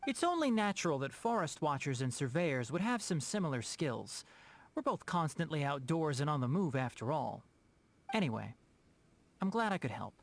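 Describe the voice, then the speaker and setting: A man voicing a knowledgeable, courteous young forest ranger in a video game, measured and articulate. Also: on the high side, sing-song. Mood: matter-of-fact. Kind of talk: video game character acting.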